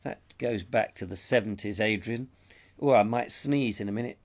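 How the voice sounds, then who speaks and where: A man's voice: on the low side, normal speed. A middle-aged English man hosting a live stream, chatty and rambling, his voice strained by a cold and fading out. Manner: rambling, chatty, reads comments aloud. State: has a cold, losing his voice. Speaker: show host. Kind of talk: live-stream chat reading viewer comments. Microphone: lapel mic.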